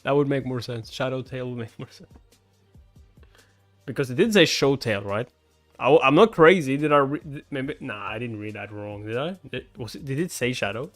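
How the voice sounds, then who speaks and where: Expressive man reading aloud over music. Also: medium pitch, normal speed. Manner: expressive, switching between narrating and incredulous comments. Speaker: reaction host reading a webcomic aloud. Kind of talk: live reaction read-along with casual asides. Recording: music behind the voice. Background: background music.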